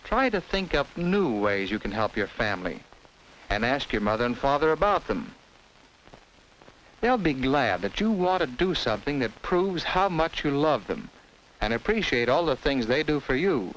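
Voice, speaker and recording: An American man narrates a children's educational film in the scripted style of the 1950s. His mid-pitched voice moves in lively, varied melody as he tells things simply and instructively to young listeners. The vintage film soundtrack carries a faint layer of noise. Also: normal speed, rough recording.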